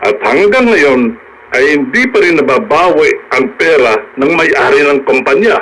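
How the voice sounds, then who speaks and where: An actor playing a measured, businesslike attorney in a radio drama, deliberate and questioning, with a Filipino accent. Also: male voice, fairly high pitch, expressive, fairly bright tone. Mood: serious, probing. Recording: noisy radio drama broadcast.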